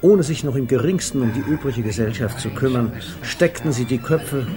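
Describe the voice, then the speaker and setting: A man's voice: mid-range voice, moderate pace, a crowd in the background, close to an everyday voice. A man narrating a 1950s German radio comedy in a wry, literary storytelling manner. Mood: wry, amused. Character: wry male narrator of a bohemian party comedy. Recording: 1950s radio recording, faint music behind.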